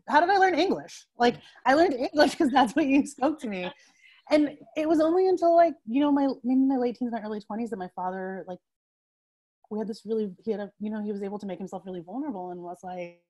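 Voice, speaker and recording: A woman's voice: a little high, darkish tone. Woman in her forties, guest on a home video call, earbud mic, talkative, laughs a lot, lots of fillers. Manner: chatty, laughs often, many fillers and restarts. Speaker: interview guest, writer. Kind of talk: casual video call conversation. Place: home room with bookshelves. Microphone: wired earbuds on a video call.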